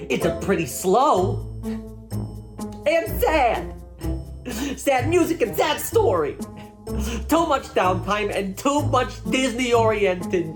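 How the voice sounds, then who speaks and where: A woman giving a theatrical, drawn-out dramatic reading of an online review. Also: on the high side.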